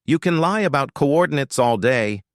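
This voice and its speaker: A man's voice: mid-range voice, expressive, normal speed, no echo, studio quality, pitched above the performer's own voice, close to an everyday voice. A man voicing a stubborn, defiant male ship captain with a blunt way of talking.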